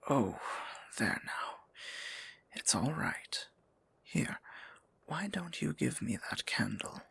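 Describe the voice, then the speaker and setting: A man playing a tender, possessive, courtly dark sorcerer in an intimate fantasy ASMR roleplay, speaking formally in a breathy, gravelly near-whisper. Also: mid-range voice, no room sound, exaggerated voice. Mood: gently soothing. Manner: formal, archaic endearments, slow deliberate phrasing.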